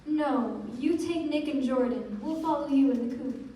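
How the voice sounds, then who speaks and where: A woman's voice: high-pitched, normal speed, natural voice. A woman playing a restless, pampered society wife in a radio play, impulsive and plaintive. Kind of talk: stage radio play acting. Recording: echoey stage from far off, clear noise.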